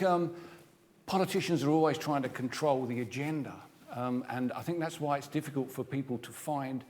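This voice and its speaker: An Englishman with a mid-pitched voice talks reflectively as a panelist in a live debate. His voice rings through a large hall with long reverb. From England. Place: radio theatre stage, live debate.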